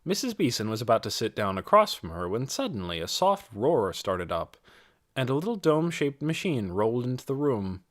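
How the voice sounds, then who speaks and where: Expressive man reading an audiobook. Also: mid-range voice, normal speed. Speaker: audiobook reader. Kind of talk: scripted storytelling narration.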